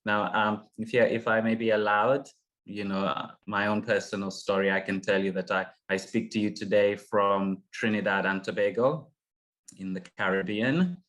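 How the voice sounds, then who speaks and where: A middle-aged man from Uganda moderating an online panel, speaking haltingly with many fillers and restarts over a video call. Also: fairly low pitch, steady tone, a bit bright. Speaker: session moderator.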